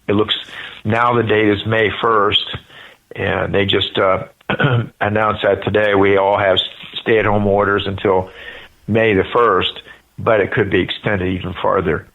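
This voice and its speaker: An older American man speaking haltingly and earnestly as a guest spokesperson in a live radio interview over the phone. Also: lowish voice, flat delivery, vocal fry. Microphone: telephone. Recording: tinny narrow phone line.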